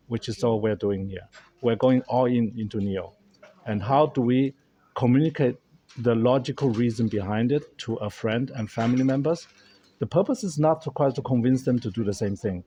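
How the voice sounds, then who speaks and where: German-native male host, mid-range pitch, warm tone, casual earnest explainer. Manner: earnest, explanatory, with many restarts. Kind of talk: casual talk to camera. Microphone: camera held by a friend.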